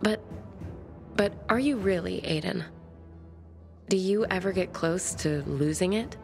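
An actress playing a bookish, flirtatious woman survivor in a video game, speaking in articulate, measured phrases. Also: lowish voice, vocal fry, soft background music. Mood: thoughtful, curious. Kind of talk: video game cutscene acting.

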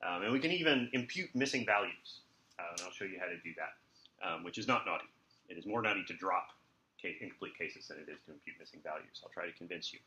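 A man's voice: low-pitched, lively intonation, fast, bright, forceful tone, highs cut off, slight background noise. A man giving an informal university lecture, with wry, jokey asides. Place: lecture room with students present. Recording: roomy lecture recording.

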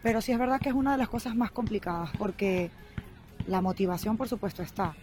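A woman's voice: medium pitch, steady tone, a little mellow, soft background music. A young Venezuelan woman with a Venezuelan Spanish accent, answering interview questions thoughtfully while standing in a city park with a handheld mic. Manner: thoughtful, measured, earnest. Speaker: guest. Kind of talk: street interview, relaxed answers.

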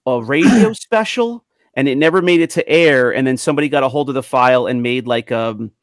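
A man's voice: medium pitch, a little fast, no echo. A middle-aged man talking casually as a panelist in a group chat about collecting, close to the microphone. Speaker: panelist on a toy collecting show. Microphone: large microphone up close.

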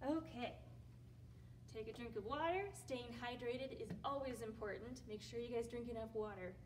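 A woman with a high voice leads a children's storytime, talking to little ones in an encouraging way. She is recorded from a few metres off in a library room, with clear background noise behind her. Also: moderate pace. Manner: addresses young children directly, encouraging. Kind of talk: sing-along children's storytime. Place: library room among bookshelves, indoors. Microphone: camera mic a few metres away. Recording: distant mic, room noise.

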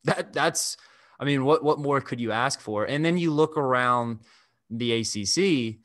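A young man hosting a sports podcast over a video call, talking in a measured, analytical way at a desk microphone. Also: mid-range voice, a bit bright, no room sound, studio quality. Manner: measured, analytical, restarts phrases. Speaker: podcast host. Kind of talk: casual sports talk. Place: indoor room.

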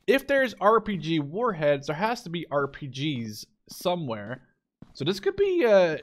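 A young man giving casual, informal let's play commentary on a game. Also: a little high. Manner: informal, sweary. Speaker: gaming video creator.

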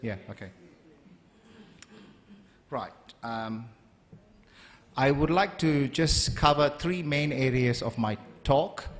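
A man in his forties, from Malaysia, giving a polite, measured university lecture at a stand microphone with frequent ums and uhs. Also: medium pitch. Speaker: guest lecturer. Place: large lecture hall, standing at a lectern. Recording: long hall reverb.

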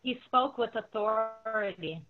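A woman's voice: on the high side, unhurried, soft background music, lightly acted. A woman playing a grateful, devoted follower in an amateur dramatic reading, giving earnest testimony over a tinny video call. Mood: reflective.

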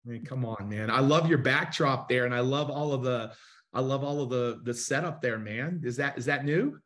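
A man's voice: mid-range voice, normal speed, a bit bright. A man in his forties hosts a live online interview show from his home studio. He talks with eager enthusiasm and gestures freely, his voice sitting in an everyday middle range as it comes over a video call. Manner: enthusiastic, gestures while talking. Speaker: host. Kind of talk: casual interview.

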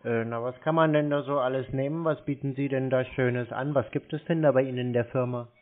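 A man's voice: mid-range voice, fairly even intonation, fairly quick. A man with a mid-range voice plays the naive customer on a prank call. He hesitates and rambles as he talks. His voice comes through a muffled, narrow phone line. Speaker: prank caller posing as a customer. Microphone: telephone.

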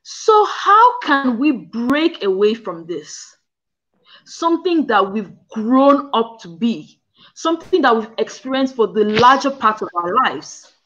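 A woman's voice: a little high, very animated, slow pace, a bit bright. A young African woman co-hosting a casual discussion from home over a video call, explaining earnestly with examples. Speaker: co-host. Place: indoors at home. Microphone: video call, front camera. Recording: muffled video call audio.

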